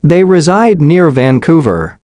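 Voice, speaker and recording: Slow man reading lesson sentences. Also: on the high side, big pitch swings, a little mellow, dry recording, studio quality. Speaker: English reader. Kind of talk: slow scripted reading for learners.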